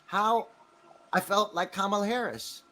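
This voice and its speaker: A man with a somewhat high voice hosts a casual podcast chat. He talks like a comedian, telling stories in an animated, joking way and acting out other people's remarks. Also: a little slow. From California. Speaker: podcast host and stand-up comedian.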